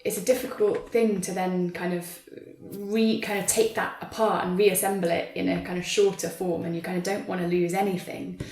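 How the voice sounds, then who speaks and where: Woman chatting thoughtfully in a discussion, flat-ish intonation, hedges a lot, echoey room. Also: on the low side, normal speed, hardly any pauses, a little hiss or hum. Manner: tentative, hedging, reflective. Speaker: theatre practitioner in a panel conversation. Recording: roomy, echoey sound.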